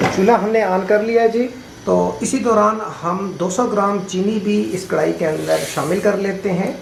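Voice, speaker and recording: A man's voice: high-pitched, fairly even intonation, moderate pace, vocal fry. A man hosting a cooking show. He explains each step steadily and repeats his tips. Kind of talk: cooking demonstration. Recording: echoey with faint noise.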